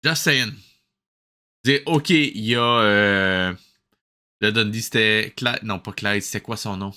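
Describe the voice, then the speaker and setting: A man's voice: on the low side, unhurried, bright, forceful tone. A man with a Québécois accent, hosting a casual cartoon review, exasperated and sarcastic and dropping English words into his talk. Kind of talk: casual commentary.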